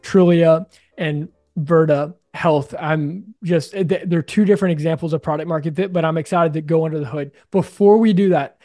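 Mellow, higher-pitched male podcast host. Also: no room sound, studio quality. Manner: upbeat, casual, with hesitant phrasing. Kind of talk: podcast interviewing and intro narration.

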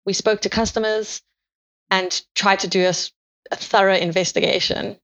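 A woman with a South African accent answers interview questions in a steady, measured way. Her voice sits in a middle pitch and her delivery stays organised and clear. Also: rough recording. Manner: measured, methodical explanation. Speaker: guest, sales operations manager.

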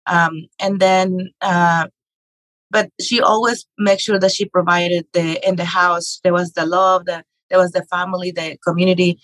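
Brief: middle-aged woman from Mexico, lowish even voice, hesitant and halting, dry close sound. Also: no echo, rough recording. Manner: hesitant, many fillers and restarts. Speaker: podcast guest, real estate investor and author. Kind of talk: conversational interview.

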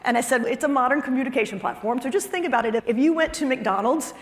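A woman with a somewhat high-pitched voice presents a business talk. She drives points home through repetition and slips into conversational asides. Her voice carries the long reverb of a hall. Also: quick pace. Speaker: chief marketing officer giving a conference talk.

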